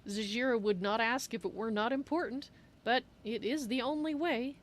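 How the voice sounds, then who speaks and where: A woman's voice: on the high side, normal speed, natural voice. A woman roleplaying a courteous female cat-folk archmage in a fantasy game, speaking of herself in the third person. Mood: polite, pleading. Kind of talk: in-character game roleplay on a livestream. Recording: faint noise and game ambience.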